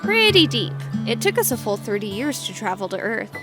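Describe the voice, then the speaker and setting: Woman acting as shy friendly new girl at high school, highish voice, fan dub w music behind. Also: big pitch swings, brisk. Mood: friendly, candid. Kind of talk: comic fan dub acting and first-person narration. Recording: voice over prominent background music.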